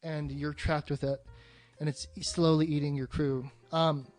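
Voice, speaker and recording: A man's voice: a little high, flat delivery, moderate pace, a little mellow, soft background music. A man hosting a podcast in a casual, rambling movie discussion, swearing freely and sounding enthusiastic. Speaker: podcast host.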